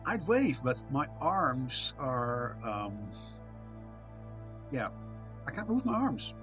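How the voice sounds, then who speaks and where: A man live-streaming, chatting casually with viewers, reading chat aloud with a soft, soothing voice and self-deprecating humour. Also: mid-range voice, very animated, takes it slowly, music in the background.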